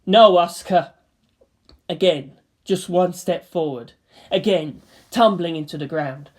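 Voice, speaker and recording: A woman's voice: low voice, a little slow, a little mellow, breathy edge. A woman narrator reading fan fiction as dramatic scripted narration.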